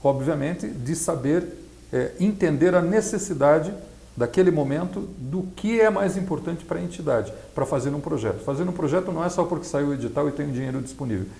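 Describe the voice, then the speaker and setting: A Brazilian man giving explanatory interview answers as a specialist, in a measured, didactic way. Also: fairly high pitch, lively intonation, vocal fry, mellow. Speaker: accountant and project specialist being interviewed. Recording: echoey, roomy sound.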